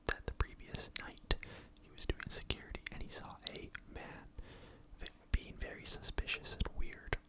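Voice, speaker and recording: An ASMR creator telling a true crime story in a fully unvoiced whisper, casual and conversational, with fillers and personal asides. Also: rough recording.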